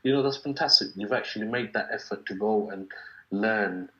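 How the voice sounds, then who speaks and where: A low-voiced man whose first language is Bengali hosts a relaxed interview. He rambles and fills gaps with "you know" and "uh". The recording of his voice is dull and lo-fi. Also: normal speed. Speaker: podcast host, interviewer. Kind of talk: casual interview chat. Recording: dull lo-fi sound.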